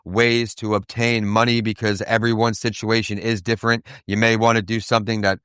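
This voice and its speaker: Podcast host guy, upbeat, big on praise, smooth clean voice, no room sound. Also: on the low side, level tone, fairly bright tone, no room sound, clean studio sound. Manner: upbeat, praising, slow and emphatic at times. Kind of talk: hosting an interview.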